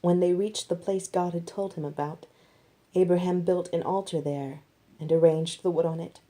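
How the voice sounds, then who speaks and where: Low-voiced woman reading with a mellow tone. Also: steady tone, normal speed. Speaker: reader of scripture narrative. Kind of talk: scripted narration, expressive Bible storytelling.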